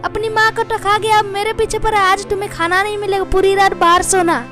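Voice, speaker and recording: A woman playing a cruel village stepmother in a Hindi cartoon story, with a shrill delivery. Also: level tone, brisk. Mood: scolding. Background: music.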